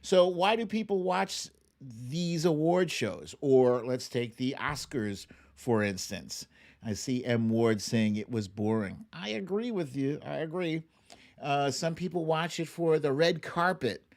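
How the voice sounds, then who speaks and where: A man hosting a livestream with casual commentary, speaking in a halting, conversational way with pauses and emphatic stresses. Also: a little high, animated. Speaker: host of a livestream show.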